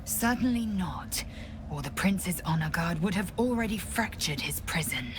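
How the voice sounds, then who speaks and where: A woman's voice: on the low side, lively intonation, background noise, lightly acted. A woman playing a fierce, devout githyanki warrior in a video game, speaking formally and with reverence. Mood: grave, instructive. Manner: solemn, measured, formal, reverent. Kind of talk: dramatic video game acting. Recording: music behind the voice.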